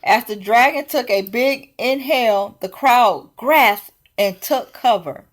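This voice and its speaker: Female picture-book narrator, higher register, unhurried and deliberate, expressive read-aloud style. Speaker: storyteller.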